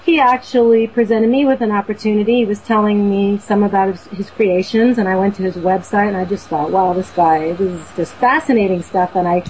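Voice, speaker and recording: A woman's voice: medium pitch, fairly even intonation, fairly quick, few pauses, a little mellow, slight background noise, poor audio. A woman from Boston, a guest telling a story in an earnest, rambling way, full of fillers and restarts. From Boston, USA. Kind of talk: casual storytelling on a talk show.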